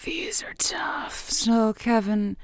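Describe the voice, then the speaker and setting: A woman's voice: on the high side, slow pace, no room sound, whispered. A woman playing a radio advice show host who hedges, stammers and waffles over what to tell her callers. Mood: waffling. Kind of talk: improvised comedy call-in show.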